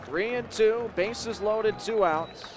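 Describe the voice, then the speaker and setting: An American man doing live radio play-by-play sports commentary, in clipped phrases that build to excited shouts. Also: sits high, slow, over music. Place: sports venue broadcast position. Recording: loud arena and crowd noise behind. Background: crowd and venue noise.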